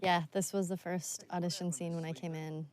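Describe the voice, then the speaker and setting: A woman's voice: on the low side, little pitch movement, brisk, a little mellow. A woman, an actress, chatting casually in a commentary session.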